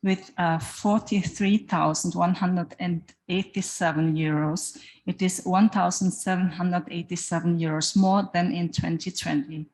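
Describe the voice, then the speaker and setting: A woman's voice: lowish voice, steady tone, unhurried, hardly any pauses, a little mellow, poor audio. German woman giving a treasurer's report. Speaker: association treasurer. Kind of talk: formal report reading.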